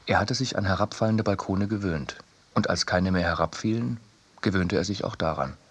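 A man's voice: low voice, normal speed. A man reading as a sober, laconic chronicler in literary radio narration. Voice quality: husky.

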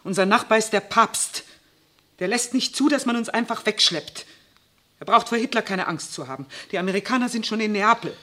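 A woman's voice: mid-range voice, brisk, pushed and bright. An actress playing a hopeful but anxious young wife and mother in a 1960s radio drama. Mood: pleading, insistent.

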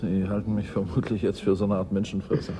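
An actor playing a world-weary, guarded scientist in a 1980s German radio drama, gruff and dryly ironic. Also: male voice, fairly low pitch, little pitch movement, fast talker, noisy background, close to an everyday voice.

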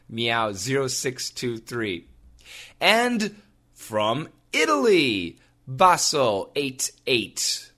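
A man, hosting an English-learning podcast and reading out listener comments in an upbeat, animated way. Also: fairly high pitch. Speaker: podcast host and English teacher.

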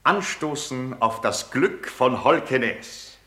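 An actor playing a pompous, ceremonious gentleman giving a festive toast in halting, declamatory German radio drama style. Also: mid-range voice, expressive. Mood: festive, solemn. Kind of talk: German radio play acting. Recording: roomy, reverberant drama scene.

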